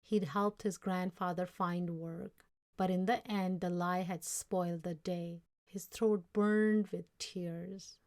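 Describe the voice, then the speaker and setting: A woman reading a children's story aloud in a measured, deliberate way. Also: fairly low pitch, fairly even intonation, darkish tone. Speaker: story reader.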